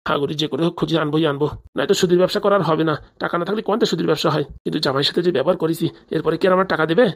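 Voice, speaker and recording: A woman voices a money-hungry village father from a comic cartoon, rattling along at breakneck speed in a lowish voice. He blusters and insists in colloquial, speech, always impatient and scheming. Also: very fast. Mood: greedy, plotting.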